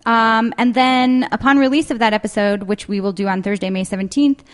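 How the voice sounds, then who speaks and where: A woman's voice: on the high side, flat delivery, fairly quick. A woman hosting a casual local podcast, talking chattily with frequent ums and uhs. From Denver. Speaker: podcast host. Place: downtown clock tower.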